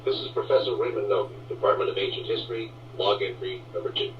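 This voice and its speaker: An actor playing a solemn, haunted archaeology professor dictating a formal horror film log, heard through old reel-to-reel tape playback. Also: male voice, on the low side, slight background noise. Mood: grave, formal. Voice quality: grave, measured, formal diction. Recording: muffled, echoey old tape playback, distant.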